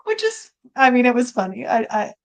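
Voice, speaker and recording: A woman's voice: on the high side, big pitch swings, normal speed. A woman actor chatting casually in a giggly, talkative way on a video call. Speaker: stage actor.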